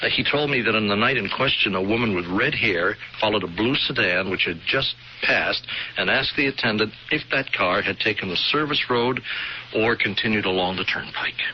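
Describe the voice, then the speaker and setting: An actor playing a plainspoken, persistent state trooper in a radio drama, with a halting, polite, probing manner. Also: male voice, lowish voice, close to an everyday voice. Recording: dull, slightly noisy vintage radio recording.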